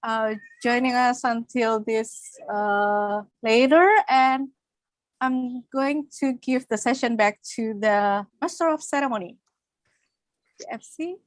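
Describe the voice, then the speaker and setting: A woman's voice: fairly high pitch, slow pace, no room sound. A woman moderating a webinar, closing the session with polite, formal remarks and some fillers.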